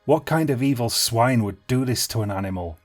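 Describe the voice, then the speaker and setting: A man voicing a tipsy grown man wandering woods at night, talking gruffly to himself in a storybook. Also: medium pitch, expressive, brisk, faint music behind, natural voice. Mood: muttering.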